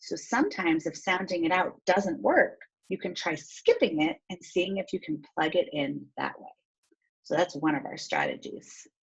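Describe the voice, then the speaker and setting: Woman, teacher read-aloud, very animated intonation, slightly husky, instructive asides, storybook narration. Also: lowish voice, lo-fi sound. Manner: instructive, thinks aloud step by step.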